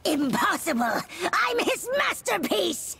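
A woman's voice: very high voice, sing-song, slow pace, gravelly, very noisy, exaggerated voice. An actress voicing a proud, menacing female monster clone in a fighting game, with a theatrical delivery. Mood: proud, defiant. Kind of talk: video game fighting banter.

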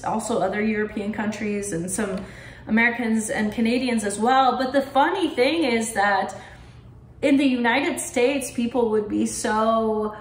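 Young woman vlogging from her armchair, kinda high voice, lots of hand waving, echoey room. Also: a little hiss or hum. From Poland. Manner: chatty, with lots of hand gestures. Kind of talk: casual talk to camera. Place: living room, indoors, seated in an armchair. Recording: roomy echo in a living room.

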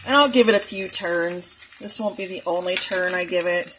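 A woman's voice: on the low side, normal speed, fairly bright tone, slight background noise, low-quality recording. A woman casually narrating a cooking demo in her home kitchen, chatty and homey, talking straight to her viewers. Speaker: cooking vlogger.

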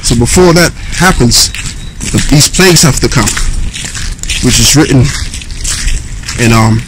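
A man's voice: mid-range voice, lively intonation. Man preaching on a park walk. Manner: preachy, reads verses aloud slowly then explains. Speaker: religious vlogger. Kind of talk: walking scripture talk to camera. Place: outdoors in a grassy park, walking. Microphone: handheld camera at arm's length. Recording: outdoor wind and ambient noise.

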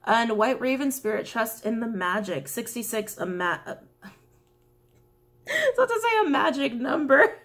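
A young woman with a raspy, slightly worn voice reads tarot cards straight to the camera. She talks casually and chattily, often laughing and apologizing along the way. She is recorded in a small indoor room. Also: a little high, sing-song, takes it slowly, pauses often, a bit bright. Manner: chatty, giggly, apologetic asides. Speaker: tarot reader.

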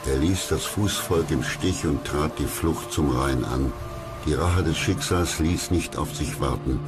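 A man reading scripted documentary narration in a dramatic, measured storytelling manner. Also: very deep voice. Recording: loud music under the voice. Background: music.

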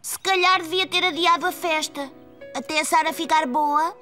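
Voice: very high-pitched, fairly even intonation, normal speed, bright, forceful tone, faint music behind. A voice actor playing a cheerful, eager four-year-old boy in a cartoon, with a European Portuguese accent. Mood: disappointed. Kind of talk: children's cartoon voice acting, European Portuguese dub.

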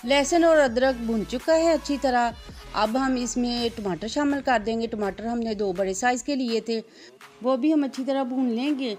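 A woman's voice: a little high, moderate pace, darkish tone, soft background music. A woman, hosting a cooking channel and narrating each step politely in a voice-over. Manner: step-by-step, polite, religious greetings.

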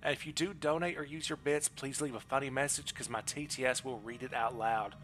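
A man's voice: medium pitch, steady tone, brisk, fairly bright tone, slight background noise. A middle-aged man giving casual livestream commentary on a game, close on a headset mic. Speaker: game streamer. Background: game music.

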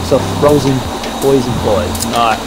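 A man improvising in-character dialogue in a game roleplay, halting and repeating his phrases. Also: mid-range voice, very noisy. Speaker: player in an online game roleplay.

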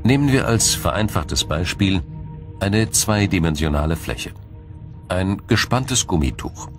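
A man narrating a scripted science documentary in a measured, formal, explanatory way. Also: sits low, very animated, over music.

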